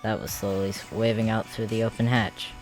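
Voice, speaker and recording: Male narrator, lowish pitch, dramatic scripted storytelling, faint music underneath. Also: flat delivery, brisk, rough recording. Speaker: narrator and voice actor.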